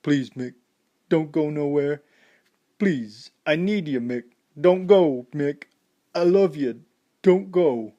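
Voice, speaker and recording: A man's voice: medium pitch, expressive, a little slow, vocal fry, breathy edge, dull audio, exaggerated voice. A male audiobook narrator voicing a grieving young boxer who pleads through tears in a raised, strained voice. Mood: pleading tearfully.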